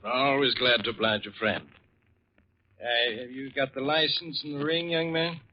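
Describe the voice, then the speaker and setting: An actor playing a folksy, obliging and easily puzzled small-town judge in a vintage radio thriller. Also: male voice, mid-range voice, fairly even intonation, normal speed, lightly acted. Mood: genial, unhurried. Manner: folksy, drops words. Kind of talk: 1940s radio thriller acting. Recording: muffled, noisy vintage radio recording.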